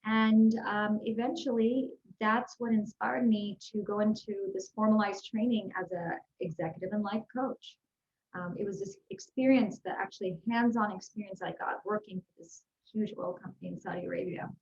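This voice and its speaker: A woman's voice: medium pitch, fairly even intonation, normal speed, a little mellow, dull audio. A woman speaking as an interview guest, answering in an upbeat, earnest, conversational way. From Houston, Texas, United States. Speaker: guest, executive and life growth coach. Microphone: video call.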